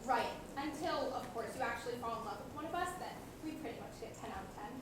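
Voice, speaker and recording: A woman's voice: fairly high pitch, quick pace, close to an everyday voice. A young woman playing a prim, businesslike nine-year-old girl who speaks formally in a student stage comedy. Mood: brisk, official. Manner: formal, official, precise. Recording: very echoey hall, far mic, noisy.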